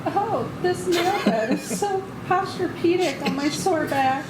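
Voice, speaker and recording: A woman acts out a pampered, blissful comedy heroine at a table read. Her very high voice coos and sighs with pleasure. The room echoes, with clear background noise. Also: a little slow. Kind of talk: comic screenplay table read.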